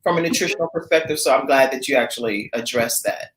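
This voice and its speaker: A woman's voice: extremely low pitch, fast talker, a little hiss or hum. Chatty woman host in an echoey room. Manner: upbeat, chatty, laughs often. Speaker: talk show host. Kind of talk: casual live interview chat.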